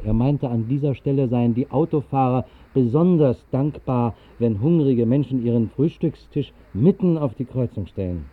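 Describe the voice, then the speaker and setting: A wry vagrant poet narrating a radio play. His medium-pitched delivery is dry and measured. The old recording sounds thin, like a phone line. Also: slightly rough. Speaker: first-person narrator. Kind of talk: 1960s literary radio drama narration.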